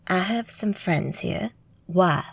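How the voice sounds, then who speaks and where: A woman's voice: on the low side, expressive, slow pace, gravelly, husky, heavily acted. A woman doing a cocky swaggering magus.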